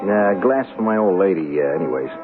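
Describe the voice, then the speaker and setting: An actor playing a shifty small-time hustler in a radio drama, talking slangy, hesitant and streetwise. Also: male voice, low-pitched, lively intonation, fast, over music. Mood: offhand. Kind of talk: radio drama acting with first-person narration. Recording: tinny 1970s radio broadcast, crowd behind.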